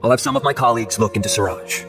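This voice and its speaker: An actor playing a courteous, composed law officer in a video game, speaking politely and formally. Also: male voice, mid-range voice, rapid-fire, music in the background, lightly acted. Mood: calm, official.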